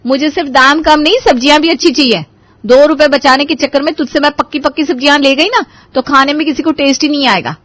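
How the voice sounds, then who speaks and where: A woman's voice: high voice, steady tone, fast, a bit bright, dry recording, voice pushed up. Woman playing an eager-to-please young daughter-in-law. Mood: firm, haggling.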